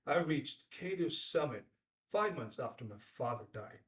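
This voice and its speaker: Male actor as charismatic climber-author, late thirties, theatrical drama delivery, weighty pauses, medium pitch, echoey distant recording. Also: lively intonation, a bit bright. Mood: solemn, reverent. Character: charismatic best-selling mountaineer, late thirties, slick and self-mythologizing. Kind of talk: dramatic acting at a table read. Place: indoor room at a filmed table read. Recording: echoey room, distant microphone.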